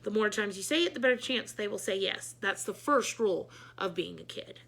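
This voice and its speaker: A woman reading a children's book aloud in an animated, expressive storytelling manner with dramatic emphasis. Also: mid-range voice, brisk, creaky. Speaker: read-aloud storyteller, teacher.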